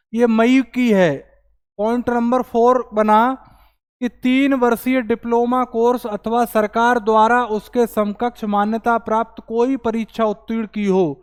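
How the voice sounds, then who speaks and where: Guy teacher lecturing students, smooth clean voice, big echoey hall sound, reads stuff out then explains it. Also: high voice. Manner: reads out passages then explains them step by step; poses questions and answers them himself. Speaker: coaching teacher. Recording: long hall reverb on the voice.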